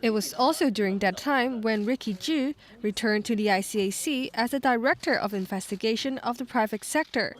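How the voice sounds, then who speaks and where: Slightly high-voiced female news reporter. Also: brisk, few pauses. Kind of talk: scripted news narration.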